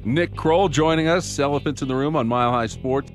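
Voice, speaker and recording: A man's voice: a little high, fairly quick. A man co-hosting a radio show in casual banter and guest introductions. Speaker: radio co-host. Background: music.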